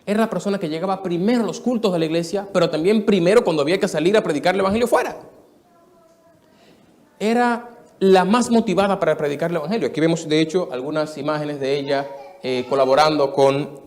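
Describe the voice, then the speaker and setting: A man in his thirties from the Dominican Republic, preaching a sermon testimony to a live church audience in an earnest storytelling way, moving between soft and emphatic. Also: on the high side, fast talker.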